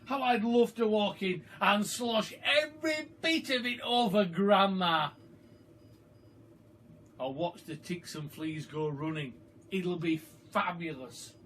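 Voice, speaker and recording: A man voicing a mischievous, excitable young boy from a storybook, with an eager, scheming manner. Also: sits high, slow. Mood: gleeful. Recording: far from the mic, noisy background.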